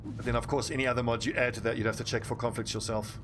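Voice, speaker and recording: A man's voice: mid-range voice, little pitch movement, very fast. A man with a South African accent giving casual, blunt commentary while streaming a game and chatting with viewers. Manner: chatty, blunt, swears freely, talks to viewers.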